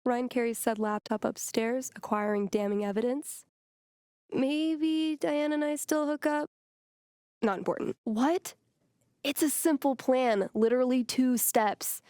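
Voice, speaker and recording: Wry, unhurried young American woman. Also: high-pitched, smooth, no echo, clean studio sound. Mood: playful scheming. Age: young adult. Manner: casual, teasing, deadpan. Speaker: video game voice actress. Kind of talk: naturalistic game dialogue.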